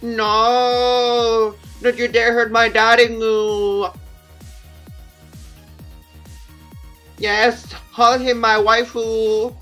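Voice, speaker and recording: A man voicing an excitable, theatrical male anime geek villain in a game, gushing and whiny. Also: very high voice, extremely slow, faint music behind, pitched above the performer's own voice, exaggerated voice. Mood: frantic.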